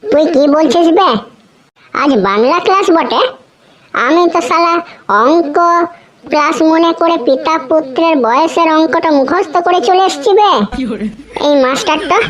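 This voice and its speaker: A woman plays a cheeky village pupil in a comedy cartoon, a slangy troublemaker who bluffs and teases. The voice is very high. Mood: grumbling.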